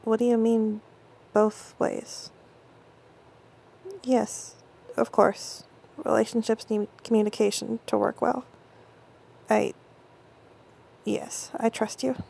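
A young woman playing an affectionate, intense girlfriend in an intimate audio roleplay, speaking close to the mic in soft whispers that break into stammers. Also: fairly high pitch, level tone, takes it slowly, vocal fry, pauses often, breathy edge, full character voice. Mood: tender, conflicted.

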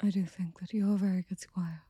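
A woman's voice: fairly low pitch, steady tone, brisk, slightly rough, no echo. A young adult woman performing an intimate scripted audio roleplay close to the microphone, commanding and teasing, often dropping to a whisper. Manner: soft and gentle near the end.

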